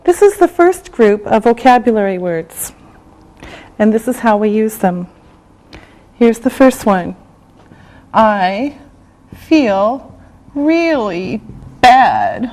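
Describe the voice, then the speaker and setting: Woman teacher, slightly high voice, very slow and deliberate, repeats every phrase. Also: darkish tone. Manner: slow, drawn-out repetition of words. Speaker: English teacher. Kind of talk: slow classroom vocabulary lesson.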